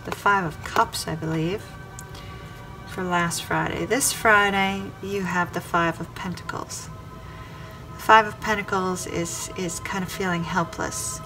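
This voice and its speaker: Gravelly-voiced woman reading tarot. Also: on the low side, steady tone, measured pace, low-quality recording. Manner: addresses the viewer directly by star sign, repeats phrases. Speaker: tarot reader. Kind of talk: weekly tarot reading to camera. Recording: clear background music under voice. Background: music playing under the voice.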